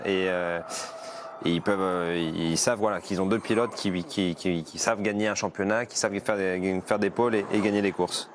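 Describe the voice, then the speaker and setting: A man giving live analysis of a race, speaking in a halting way and often repeating words. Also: low-pitched, steady tone. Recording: noisy live broadcast feed.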